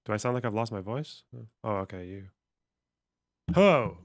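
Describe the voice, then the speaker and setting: A man's voice: lowish voice, lively intonation, normal speed, dry recording, studio quality. A man streaming, reading text aloud and musing on it casually, swearing offhandedly. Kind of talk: casual livestream commentary.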